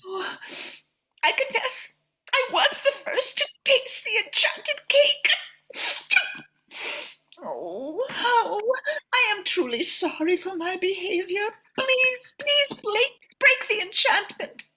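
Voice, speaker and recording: A woman playing a petulant, spoiled young noblewoman in a comic radio play, theatrical and quick to blame. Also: very high-pitched, very animated, pushed and bright, exaggerated voice, low-quality recording. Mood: pleading, contrite.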